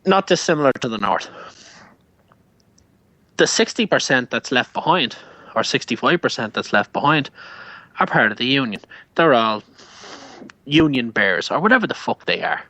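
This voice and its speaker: A man's voice: mid-range voice, sing-song, normal speed, long pauses, pushed and bright, no echo. A man with an Irish accent, ranting heatedly and full of swearing in a casual show chat. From Ireland. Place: Canada.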